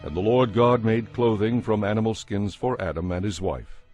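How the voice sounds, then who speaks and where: A man's voice: on the low side, moderate pace, darkish tone, soft background music. A man reading scripted narration for a dramatized audio Bible.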